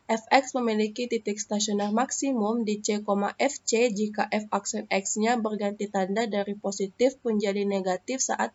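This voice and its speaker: A young woman from Indonesia talks through a math lesson step by step, often pausing to hesitate. Her voice has an ordinary middle pitch. It comes through a slightly dull, lo-fi screen recording. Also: a little mellow. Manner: explains step by step with frequent hesitations. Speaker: math student presenting a lesson.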